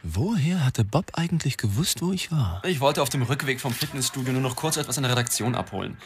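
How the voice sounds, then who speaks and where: A man's voice: mid-range voice, expressive, fast talker, noisy background, close to an everyday voice. An actor playing a flippant, evasive newspaper reporter and family man in a wry radio drama style. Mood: placating, apologetic. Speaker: actor, lead and narrator. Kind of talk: radio drama dialogue and first-person narration.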